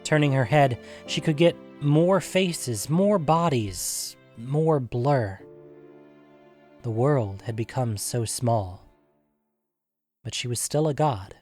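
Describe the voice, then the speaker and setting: Male narrator, mid-range voice, for audio fiction; deliberate, dramatic storytelling over a music bed. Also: mid-range voice, expressive, darkish tone.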